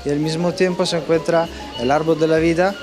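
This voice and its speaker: Young man, Italian accent, a bit high, halting with fillers, clear music behind him in an indoor hall. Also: fairly even intonation. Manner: hesitant, many fillers and restarts. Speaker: pavilion representative. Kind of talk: on-camera interview. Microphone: handheld mic.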